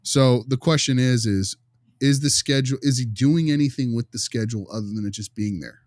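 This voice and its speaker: A man's voice: mid-range voice, brisk, a little mellow, no echo. A man speaking casually and colloquially as a podcast panelist, with a wry, wordy way of talking. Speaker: podcast panelist, behavior specialist. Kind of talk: casual discussion and case role-play.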